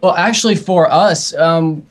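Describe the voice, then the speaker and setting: A man's voice: fairly high pitch, unhurried. A man who plays in a band answers casually as a radio guest, explaining things earnestly and informally. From outside the UK. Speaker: band member, interview guest.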